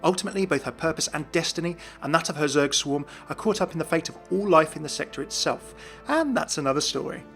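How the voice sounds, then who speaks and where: A man's voice: a little high, animated, fast talker, a bit bright, faint music behind. A man hosting a video, giving scripted narration of video game lore.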